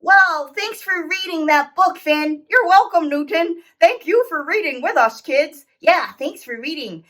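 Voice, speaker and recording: A woman puts on an eager, friendly puppet pal for a children's show. The voice is high and bright, swooping around as it chats in a childlike way. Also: lively intonation, a little slow, full character voice. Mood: cheerful.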